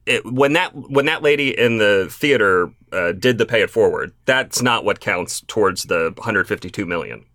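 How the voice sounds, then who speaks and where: A man from the United States interviewing as a podcast host, conversational and halting as he rephrases his questions. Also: lowish voice, lively intonation, a bit bright, dry recording, clean studio sound.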